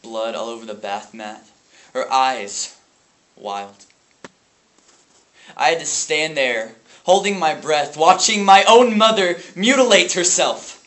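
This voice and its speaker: A man performing a dramatic stage monologue as a grieving, traumatized brother, anguished and bitter, speaking in halting phrases with heavy pauses. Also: lowish voice, fairly even intonation, a bit bright, far from the mic, pitched below the performer's own voice. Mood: bitter, haunted. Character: grieving, traumatized brother bitter about his abusive family. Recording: echoey room with faint noise.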